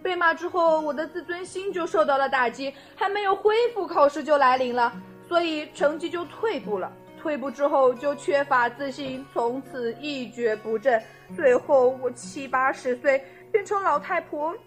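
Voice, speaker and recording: Woman as teenage schoolgirl, high voice, playful, wheedling, naive, audio drama, music behind. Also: moderate pace, natural voice. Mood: wheedling, pitiful. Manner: wheedling, earnest absurd reasoning.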